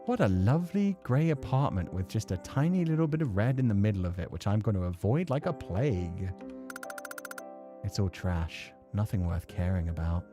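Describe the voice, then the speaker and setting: Low, animated male gaming streamer. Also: normal speed, music in the background. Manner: casual, sweary, muttering asides to himself. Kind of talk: let's play commentary mixed with reading game text aloud.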